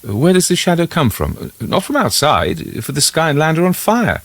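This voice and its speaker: A man voicing an uneasy country gentleman in a ghost story, formal and a little hesitant as he puzzles things out. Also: on the high side, wildly swooping pitch, normal speed, no echo, pitched above the performer's own voice, full character voice. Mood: uneasy, grave.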